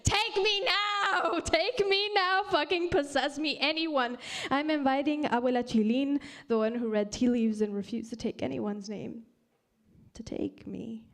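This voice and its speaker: A woman in her thirties performing poetry at a live reading, mixing English and Spanish, swinging between hushed whispers and loud cries. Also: high-pitched, fairly even intonation, measured pace. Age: 30s. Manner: dramatic, shifting from whispers to screams. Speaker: poet reading at a live event.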